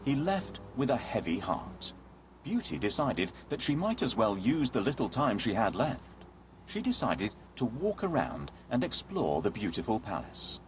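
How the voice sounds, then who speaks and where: Very animated male fairy-tale narrator. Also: mid-range voice, a little slow, slight background noise, low-quality recording. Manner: expressive, dramatic storytelling. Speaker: storyteller narrator.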